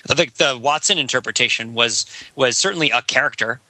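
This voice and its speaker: A man co-hosting a podcast in casual chat, a little hoarse, teasing and cracking jokes. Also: mid-range voice, fast, pushed and bright, dry recording, poor audio. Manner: joking, teasing banter. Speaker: podcast co-host.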